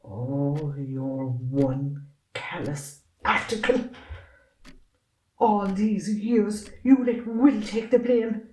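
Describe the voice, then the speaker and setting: A woman's voice: medium pitch, extremely slow, warm tone. Distant, echoey, accusing woman acted. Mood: bitter, accusing. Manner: accusatory, emphatic. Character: appalled, accusing Irish wife confronting a man. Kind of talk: amateur recorded stage drama acting. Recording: roomy echo, distant from microphone.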